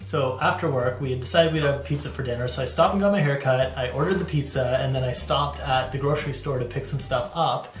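A man's voice: medium pitch, a little fast, hardly any pauses, reverberant, faint music behind. A man in his thirties chatting casually to camera as a dad vlogger, rambling and self-deprecating, recorded on a handheld camera in a small bathroom. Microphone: handheld camera at arm's length.

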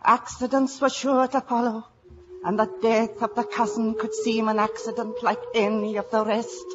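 A woman's voice: fairly high pitch, level tone, normal speed, background noise, natural voice. A woman playing a solemn old wise woman. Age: older. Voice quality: hushed, solemn storytelling. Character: old Irish village wise woman, calm and mystical. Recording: dull 1950s radio broadcast.

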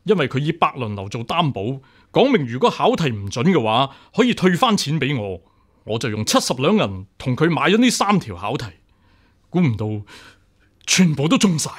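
Animated, upright young-blooded official, Cantonese. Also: male voice, a little high, moderate pace. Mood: agitated, insistent. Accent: Hong Kong Cantonese. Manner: earnest, formal, insistent. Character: upright, stubborn deputy examiner official, principled and unyielding. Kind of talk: Cantonese radio drama acting.

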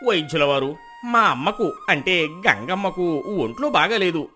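An actor playing a miserly, crafty village husband who feigns devotion, speaking with theatrical emphasis in a moral tale. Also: male voice, a little high, moderate pace, faint music behind. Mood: nervous scheming. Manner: animated, emphatic, theatrical storybook dialogue.

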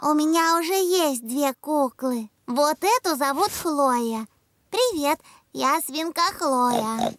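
A woman's voice: sits high, lively intonation, takes it slowly. A woman voicing a cheerful older pig girl in a children's cartoon dub.

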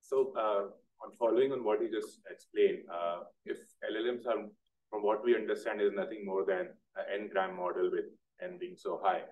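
A man's voice: on the low side, steady tone, moderate pace, distant mic, narrow, tinny audio. A man in the audience asking a question, hesitant and using fillers.

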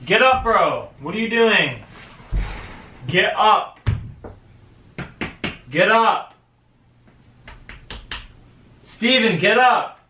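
A man's voice: sits high, very animated, takes it slowly, pauses often, bright, forceful tone. A young man talking casually in short, slangy phrases. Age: young adult. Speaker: prank target, brother of the host. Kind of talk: casual chat. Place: living room, indoors. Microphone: camera across the room. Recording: echoey room, some noise, off camera mic.

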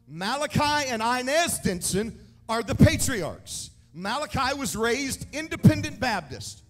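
A man, preaching an energetic sermon with a handheld mic on a large church stage, emphatic and shouting key points. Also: sits high. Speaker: pastor. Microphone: handheld microphone. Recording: echoey hall, mic set back.

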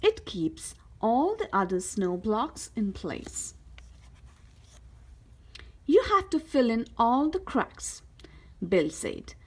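A woman reading a children's picture book aloud as a storyteller, with careful, expressive delivery. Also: medium pitch, husky. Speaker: host of a read-aloud story channel.

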